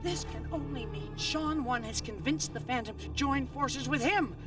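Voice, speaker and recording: A woman's voice: sits high, animated, far from the mic, loud music behind the voice. An actress playing an imperious, cold, paranoid cartoon villain mother who commands. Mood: menacing, suspicious. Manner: slow, commanding. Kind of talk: cartoon voice acting.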